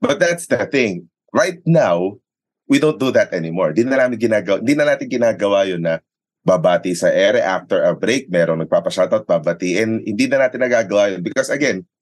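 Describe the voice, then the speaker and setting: A man's voice: fairly low pitch, expressive, a little fast. A Filipino-accented man, a sports radio commentator, explaining things conversationally in an interview, mixing English and Tagalog and checking in with tag questions. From Philippines. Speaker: sports radio play-by-play commentator and arena announcer.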